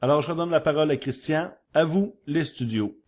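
A man's voice: fairly high pitch, brisk, a little mellow. A man with a Quebec French accent giving an informal, enthusiastic review segment as a podcast columnist.

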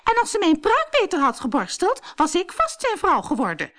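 A woman playing a bossy, envious young stepsister in a fairy tale, snapping and loud. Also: extremely high pitch, wildly swooping pitch, normal speed, pitched above the performer's own voice, full character voice. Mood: snappish, envious. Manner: snapping, shouting.

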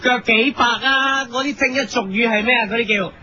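A man's voice: very high-pitched, brisk. A man playing a cheeky, laid-back, whiny superhero teammate in a comedic radio drama. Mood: whiny, coaxing. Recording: muffled radio recording, clear background noise.

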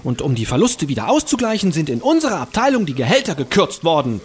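An actor playing an eager, servile cannibal interpreter in a comedic audio drama, with a theatrical, fawning manner. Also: male voice, fairly high pitch, wildly swooping pitch, quick pace. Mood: accusing, obsequious. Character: eager, servile male office cannibal interpreter, comically devout.

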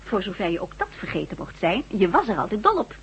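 Soothing housewife, radio drama, very husky, lilting, slightly high, gentle, coaxing, muffled vintage recording. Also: female voice, wildly swooping pitch, fast talker, exaggerated voice. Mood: gentle, cheery. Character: caring, watchful, soothing woman at home.

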